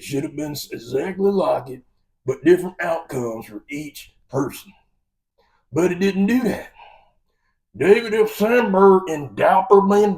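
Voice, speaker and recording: A man's voice: fairly high pitch, a little mellow, distant mic, poor audio. An American man giving an opinionated, exasperated film review rant in a folksy, emphatic way. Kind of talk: opinionated movie rant to camera.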